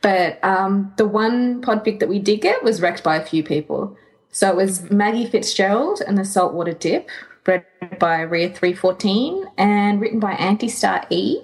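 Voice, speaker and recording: A woman's voice: medium pitch, moderate pace, poor audio. A woman co-hosting a podcast in a casual, conversational fan-chat style. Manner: informal, conversational. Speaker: podcast co-host.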